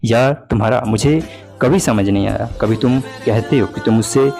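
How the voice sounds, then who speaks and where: A man plays a young, jealous boyfriend in a breakup drama, speaking in a middle-pitched voice. He reproaches and pleads with his girlfriend, sounding wounded. Music plays behind him. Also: moderate pace, vocal fry, natural voice. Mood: sad, doubtful. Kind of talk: dramatized breakup phone conversation in Hindi. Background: background music.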